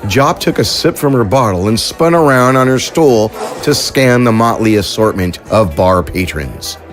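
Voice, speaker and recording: A man narrating an audio drama in a steady storytelling delivery. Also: lowish voice, moderate pace, faint music behind.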